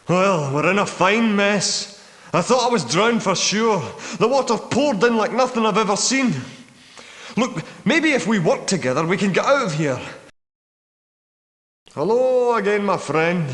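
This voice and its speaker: An actor playing a weary, haunted war veteran trapped in caverns, speaking in a confiding, dramatic way as video game dialogue. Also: male voice, high-pitched. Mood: agitated. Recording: echoey reverberant cavern-like sound.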